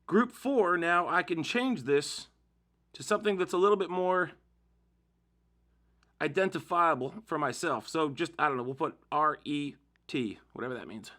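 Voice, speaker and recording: Casting: male tutorial host, slightly high pitch, bright forceful tone, informal step-by-step delivery. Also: lively intonation, normal speed. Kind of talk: casual how-to demonstration narration.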